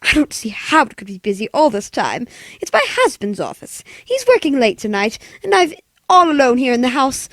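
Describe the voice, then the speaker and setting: Woman playing a high, fretful, frail invalid wife. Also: big pitch swings, moderate pace, no echo. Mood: fretful, nervous. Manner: fretful, breathless, exclaiming. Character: anxious, frail invalid wife, alone and demanding. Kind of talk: 1940s radio play acting.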